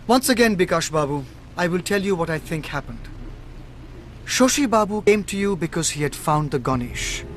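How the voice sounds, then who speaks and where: An actor with an Indian accent playing a shrewd, self-assured detective in a radio drama, speaking in a measured, deliberate way. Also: male voice, a little high, expressive, a bit bright, slight background noise. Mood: probing, accusing.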